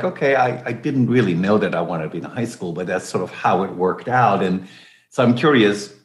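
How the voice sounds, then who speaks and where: A man hosting an interview, curiously restating his guest's words before asking open questions. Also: on the low side. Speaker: interview host. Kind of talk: conversational interviewing. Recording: echoey room.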